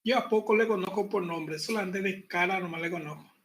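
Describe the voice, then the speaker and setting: A man teaching an online university class, relaxed and chatty, repeating his points and joking with the students. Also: high-pitched, brisk. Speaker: university pathology lecturer. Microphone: computer mic on a video call.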